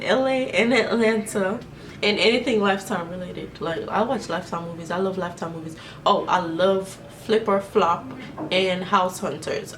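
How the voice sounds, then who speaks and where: A woman's voice: mid-range voice, far from the mic, a little hiss or hum. Chatty young Jamaican woman vlogging. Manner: chatty, rambling, many fillers. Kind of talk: casual Q&A vlog. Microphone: camera mic.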